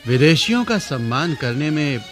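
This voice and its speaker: An actor playing an elderly, learned poet-guru travelling in disguise, speaking in a theatrical, courtly, deliberate way in a classical radio play. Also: male voice, a little high, very animated, music in the background. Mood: courtly, genial. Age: older.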